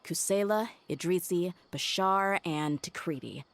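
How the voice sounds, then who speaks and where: Low-voiced, determined young warrior. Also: female voice, unhurried, no echo. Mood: focused. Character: young woman warrior, loyal, frank, determined investigator. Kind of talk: video game character acting.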